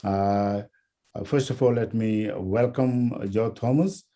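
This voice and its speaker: Host: man from India, low very dark voice, slow and halting, lots of fillers. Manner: halting, heavy with filler sounds. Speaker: interview host. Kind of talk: hosting a recorded dialogue.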